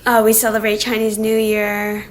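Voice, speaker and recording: A woman speaking casually in a documentary interview, relaxed with fillers. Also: medium pitch, moderate pace.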